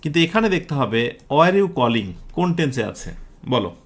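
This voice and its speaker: Animated Bangladeshi male teacher. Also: medium pitch, normal speed. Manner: didactic, often puts questions to students and checks understanding. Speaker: English grammar teacher. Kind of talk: live exam-prep lesson, explaining step by step.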